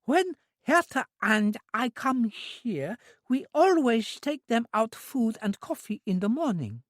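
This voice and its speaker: A childlike, clinging young woman speaks in a very high, slow, sing-song voice. Her pitch swoops widely as she pleads and coaxes in a storybook reading. The sound is dry and close. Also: very animated, no room sound. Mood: eager pleading.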